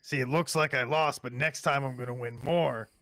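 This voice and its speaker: A man playing a cocky, smooth-talking warlock gambler in a tabletop game, coaxing and persuasive. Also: mid-range voice, steady tone, normal speed, fairly bright tone, dry recording, lightly acted. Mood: smug, persuasive. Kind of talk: in-character tabletop roleplay.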